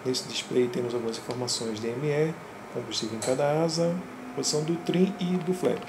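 A man explains his homemade flight panel in a steady, methodical way. His voice echoes around a small room. Heavy fan and engine hum sits underneath him the whole time. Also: medium pitch, brisk. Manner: calm, methodical, pointing things out. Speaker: flight sim hobbyist. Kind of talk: casual show-and-tell narration. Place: home simulator room, indoors. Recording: roomy, with loud fan and engine noise.

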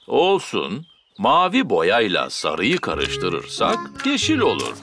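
Lively, encouraging cartoon daddy pig. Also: male voice, on the low side, slow, heavily acted. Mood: cheerful, encouraging. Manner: encouraging, expressive. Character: cheerful, patient father pig teaching his children painting. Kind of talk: children's cartoon dub. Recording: music behind the voice.

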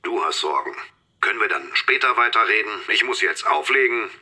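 An actor playing a detective in an audio drama, heard through a phone or radio filter. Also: male voice, on the low side, lively intonation, fairly quick, sharp tone, breathy edge, exaggerated voice. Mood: matter-of-fact. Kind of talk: German audio drama acting.

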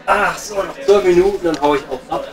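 A man's voice: high-pitched, brisk, natural voice. A man with a Ruhr accent playing a cheeky, girl-chasing coal miner chatting in a pub in an improvised audio comedy. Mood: bantering. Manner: cheeky, colloquial, rambling. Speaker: comedy performer. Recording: distant from the microphone. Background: music.

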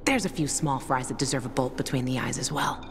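A woman's voice: rumbling low, brisk, noisy background. An actress playing a tough, cynical young female sniper in a video game, blunt and sardonic. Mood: deadpan menace. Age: young adult. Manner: blunt, sardonic, foul-mouthed. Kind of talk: video game cutscene acting. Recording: game soundtrack music behind.

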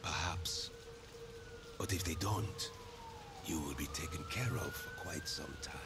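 An actor playing a sinister, calm gang lieutenant in a video game, speaking in a measured, formal way. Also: male voice, low voice, heavy background noise, heavily acted. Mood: persuasive, menacing. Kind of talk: video game cutscene acting.

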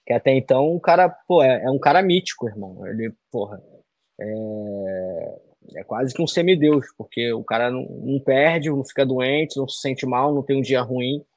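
A man's voice: medium pitch, moderate pace, a little mellow, dry recording. A man in his thirties answering interview questions over a video call from a small room, talking loosely with many fillers and tag questions. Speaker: fighter interviewee.

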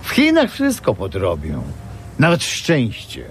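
An actor playing a wry, hesitant small shopkeeper in a radio drama. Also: male voice, medium pitch, wildly swooping pitch, measured pace, soft background music. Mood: dry.

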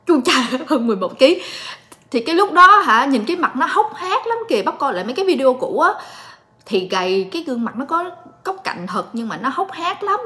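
A woman in her late twenties chatting calmly and directly to viewers in a casual home vlog. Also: on the high side. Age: late 20s. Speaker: vlogger and story reader. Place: living room at home.

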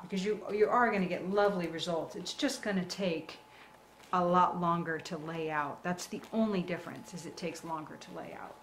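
A woman with a fairly low voice hosts a live craft tutorial. She guides step by step in a casual, encouraging way. The recording is indoors and echoey, with noticeable background noise. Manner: reassuring, encouraging. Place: indoor work table. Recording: roomy echo, clear background noise.

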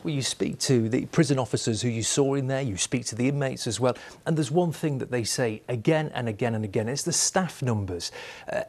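A man's voice: medium pitch, a little mellow. A man, a news reporter narrating a report and answering an anchor's questions. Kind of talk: scripted news narration and studio debrief.

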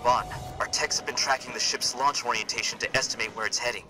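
An actor playing an earnest military comms officer in a sci-fi game, briefing in a businesslike manner. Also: male voice, mid-range voice, fairly even intonation, fast talker, over music, close to an everyday voice, poor audio. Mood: serious. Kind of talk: video game military acting.

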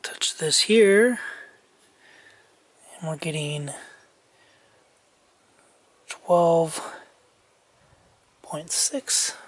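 A man, casually thinking aloud lots of ums and soft muttering while he tests wiring outdoors. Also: on the high side, drawn-out words, long pauses. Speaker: vlogger. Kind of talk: casual hands-on narration while testing a tractor's wiring. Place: outdoor yard and garage workshop.

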